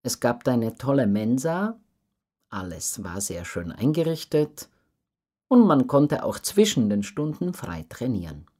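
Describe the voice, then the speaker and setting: A woman with a very low-pitched voice answers questions in an interview. She speaks slowly and earnestly, with lively rises and falls in pitch, and puts her thoughts clearly. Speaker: interview guest, professional circus acrobat.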